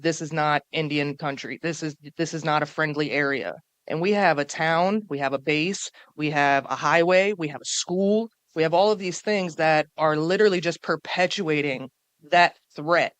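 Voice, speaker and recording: A woman speaking on a panel before a live audience in a small café, forceful and emphatic, stacking up points and repeating them for weight. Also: deep voice, dry recording. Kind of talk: panel talk.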